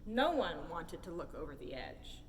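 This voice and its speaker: A woman reading a children's picture book aloud in an animated, theatrical storytelling style. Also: low-pitched, normal speed. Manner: theatrical, expressive. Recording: roomy hall, distant mic, faint noise.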